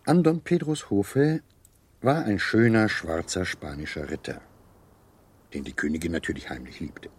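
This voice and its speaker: An actor in a German radio play playing a gallant, teasing seducer who tells stories in a suave, theatrical way. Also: male voice, low-pitched, moderate pace, slightly rough. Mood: suave, dramatic storytelling. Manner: theatrical, suave, savouring storytelling.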